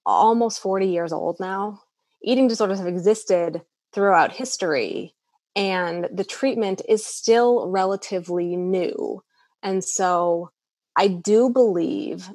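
A woman speaks with a somewhat low voice, recorded close and dry with no room sound. She answers as a clinician in an interview, earnest and a little halting, often restarting her sentences. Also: dry recording. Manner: earnest, hesitant with many restarts and fillers. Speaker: eating disorder clinician, guest.

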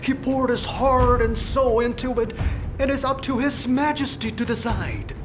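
An actor playing a dignified, learned master painter and protective mentor in a radio drama, measured and deliberate. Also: male voice, very high voice, music in the background. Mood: respectful pleading.

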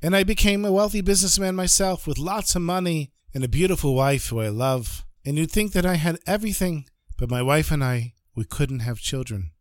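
A man plays a calm, heartfelt disciple recounting his past in an even, earnest voice. The voice sits a touch higher than usual, close and dry. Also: fairly quick, hardly any pauses, dry recording, natural voice.

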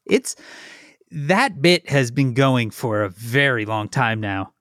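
A man co-hosts a casual podcast chat and reads listener questions aloud. He talks at a slow, easygoing pace with a mid pitch. The sound is dry and close. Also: expressive, fairly bright tone, dry recording. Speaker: podcast co-host.

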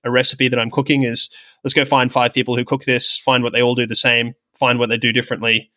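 A man's voice: mid-range voice, level tone, quick pace, pushed and bright. A man speaking conversationally as an interview guest, explaining things in a rambling, reflective, self-deprecating way. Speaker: podcast guest, sports technology professional with medical and coaching background.

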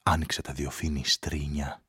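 A man narrating a radio play in a playful, theatrical way, dropping to hushed murmurs and swinging into sung phrases. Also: extremely low pitch, flat delivery, slightly rough, dry recording. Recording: studio radio play with loud music underneath. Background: music.

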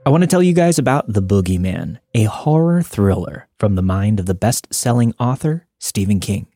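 A man's voice: fairly low pitch, lively intonation, normal speed, mellow, dry recording. A man reading a scripted sponsor spot as a podcast host.

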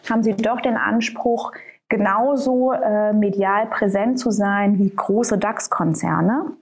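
A woman's voice: medium pitch, slow pace. A woman being interviewed as an author, thinking aloud with frequent hesitations and fillers. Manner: thoughtful, many hesitations and fillers. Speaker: author and guest. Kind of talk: conversational podcast interview.

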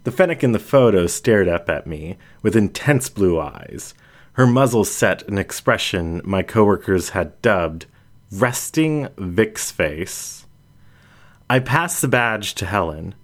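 A man narrates a first-person story in a very low voice. He reads at an unhurried pace, with plenty of melodic movement in his delivery. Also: animated. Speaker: audiobook narrator.

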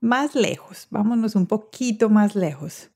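Woman as an interview host, friendly questions, medium pitch. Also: expressive, unhurried, warm tone. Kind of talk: interview hosting.

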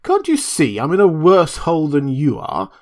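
A man voicing a reckless drunken man who bellows, taunts and blusters in a raised, higher voice. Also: wildly swooping pitch, normal speed, a little mellow. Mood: angry. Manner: roaring, slurred bluster, mocking.

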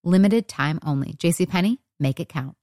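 A woman's voice: lowish voice, animated, moderate pace. A woman announcer giving an upbeat, polished scripted ad read.

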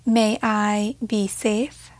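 A woman leading a guided meditation in a gentle, measured way, leaving pauses between short phrases. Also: medium pitch, flat delivery, no echo.

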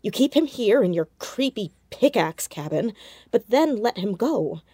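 A woman's voice: fairly high pitch, very animated, unhurried, warm tone, breathy edge, dry recording, heavily acted. Blunt, determined teen girl sleuth. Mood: reasoning, insistent. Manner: probing, blunt, wry. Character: determined, sceptical teenage girl amateur sleuth, fearless, outspoken. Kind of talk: naturalistic audio drama acting.

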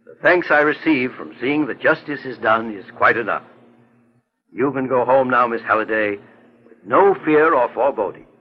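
A man playing a kindly, assured older gentleman detective in a 1940s radio drama. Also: medium pitch, lively intonation, normal speed, natural voice. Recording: dull lo-fi 1940s radio recording.

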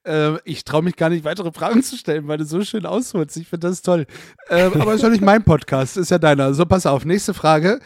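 A man's voice: fairly high pitch, brisk, no echo. A man interviewing the host, teasing him and hesitating with fillers. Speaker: guest interviewer. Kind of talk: casual conversation.

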